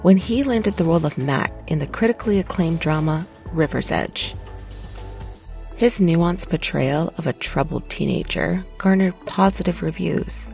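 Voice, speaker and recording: A narrator reading a scripted documentary in a steady, even way. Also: mid-range voice, creaky. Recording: light music underneath.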